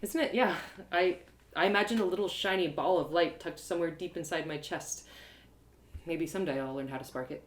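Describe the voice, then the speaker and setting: A woman's voice: lowish voice, fairly quick, lightly acted. A woman playing a plucky but self-doubting young adventurer girl in a fantasy audio drama, musing hesitantly. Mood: hesitant, wistful. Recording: roomy home live reading.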